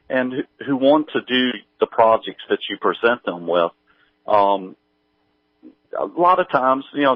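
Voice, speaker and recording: Halting, low-voiced man, dull audio. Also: no echo. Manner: halting, hesitant delivery full of pauses. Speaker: guest, audio drama creator. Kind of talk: casual interview chat.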